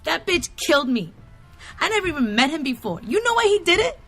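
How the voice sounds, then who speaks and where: Brash, streetwise ghost passenger. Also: female voice, high-pitched, big pitch swings, brisk, a bit bright, soft background music, full character voice. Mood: bitter, angry. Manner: brash, slangy, combative. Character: defiant, streetwise, bitter young ghost passenger. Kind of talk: audio drama acting.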